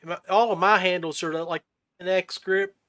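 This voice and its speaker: A man's voice: sits high, fairly even intonation, moderate pace, pushed and bright. A man hosting a podcast and chatting casually with his guest. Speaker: podcast host. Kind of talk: casual chat.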